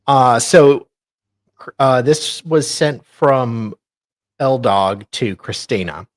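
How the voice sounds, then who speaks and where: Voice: mid-range voice, animated, takes it slowly, dry recording. A co-host chatting casually and reading screenshots aloud, with sarcastic asides. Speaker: co-host doing dramatic readings.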